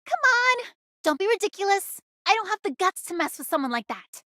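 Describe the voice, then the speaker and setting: A woman's voice: extremely high pitch, very animated, a little fast, bright, forceful tone, no room sound, studio quality, full character voice. A woman voicing a feisty, indignant young woman in an anime video game, speaking in an emphatic, scolding way. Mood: alarmed.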